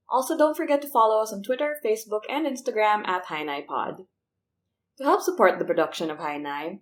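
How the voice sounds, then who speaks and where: A woman reading scripted podcast announcements in an upbeat, chatty way, speaking straight to the listeners. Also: medium pitch. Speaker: podcast co-creator and co-producer.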